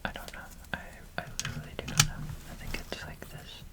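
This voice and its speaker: A man whispering casual, rambling ASMR chatter with many fillers while building bricks, his voice slightly nasal. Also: fast talker. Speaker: ASMR creator.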